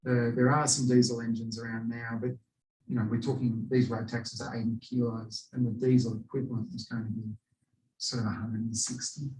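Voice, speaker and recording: A man with an Australian accent, a pilot answering audience questions in a relaxed, chatty way full of "you know". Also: medium pitch, brisk, far from the mic. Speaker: pilot speaking to a historical society. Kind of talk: casual question-and-answer talk. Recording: roomy echo, voice away from the mic.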